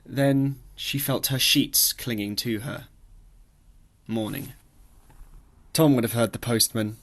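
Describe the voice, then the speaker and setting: A man's voice: mid-range voice, steady tone, slow pace, a bit bright. A man narrating a literary novel as an audiobook, reading expressively and acting out the dialogue.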